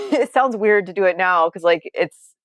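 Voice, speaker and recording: A woman's voice: medium pitch, animated, normal speed. A young adult woman talking as an interview guest, candid and earnest, meandering and often circling back mid-sentence.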